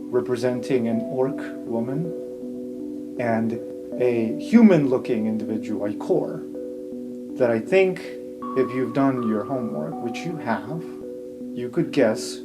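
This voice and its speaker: A man improvising descriptive narration as a tabletop game master, conversational and pausing mid-sentence. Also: medium pitch. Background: music with plucked guitar.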